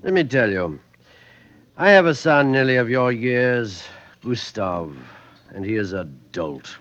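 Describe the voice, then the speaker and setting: A muffled old radio drama recording carries a self-satisfied middle-aged French factory owner. His voice is forceful and swings widely in pitch as he exclaims grandly, a gullible man who is quick to dismiss others. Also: expressive. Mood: expansive, tipsy. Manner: theatrical, expansive, exclaiming. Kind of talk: 1950s radio drama acting.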